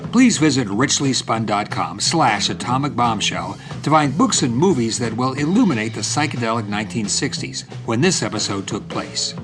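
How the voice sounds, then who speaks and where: Male announcer over clear music. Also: mid-range voice. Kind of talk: scripted credits narration.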